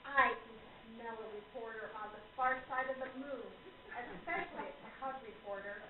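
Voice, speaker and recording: A woman's voice: a little high, normal speed, lightly acted. A woman playing a wily, indignant spirit medium in a radio play, with a dramatic, declamatory delivery. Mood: sly accusing. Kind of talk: stage radio play acting. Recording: echoey, noisy, tinny, far from mic.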